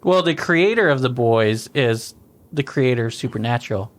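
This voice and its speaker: A man's voice: medium pitch, expressive, normal speed. A man co-hosting a casual beer-tasting podcast, rambling through his points with lots of restarts and laughter. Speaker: podcast co-host. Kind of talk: casual chat over beers.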